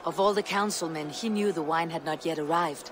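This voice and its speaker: An actress playing a stern, determined young Viking warrior woman in a video game, with a husky voice. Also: low voice, brisk, a crowd in the background, background noise, lightly acted. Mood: serious. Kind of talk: video game dialogue acting.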